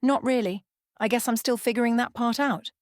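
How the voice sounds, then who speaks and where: A woman's voice: a little high, brisk, darkish tone, dry recording, clean studio sound, voice pushed up, close to an everyday voice. A woman voicing an easygoing, amused male hacker in a bedtime story, with a wry manner. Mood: reflective.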